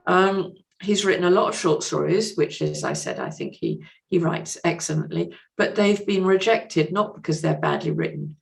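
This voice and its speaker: Low-pitched, creaky-voiced woman. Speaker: podcast guest, literary translator. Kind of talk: conversational interview, reading out a message.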